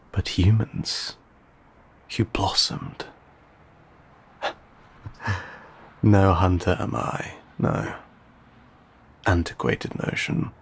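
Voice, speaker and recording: A low-pitched, husky old vampire, acted by a man, speaking slowly in an intimate audio drama. He is courteous and teasing, with a lonely hunger beneath his archaic, formal words. His breathy delivery often sinks toward a whisper. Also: exaggerated voice. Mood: humbled, wistful. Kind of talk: intimate audio roleplay addressed to the listener.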